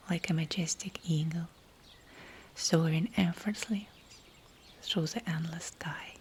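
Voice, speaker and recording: Slow whispering woman guiding meditation. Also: lowish voice, slight background noise. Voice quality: breathy whisper. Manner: soothing, hushed, unhurried phrases with pauses. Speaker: meditation guide. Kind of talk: guided visualization meditation, scripted narration.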